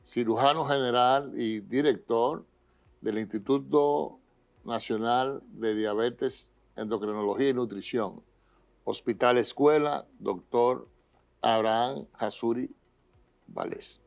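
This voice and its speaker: A man co-hosting a health talk show, introducing topics in a steady, listing way. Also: medium pitch, takes it slowly, vocal fry. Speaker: co-host, physician.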